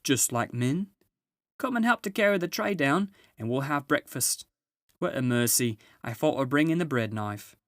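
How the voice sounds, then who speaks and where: A man as a high-pitched, fussy lady beaver. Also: animated, a bit bright, no room sound, studio quality. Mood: brisk, bossy. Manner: brisk, homely scolding and politeness.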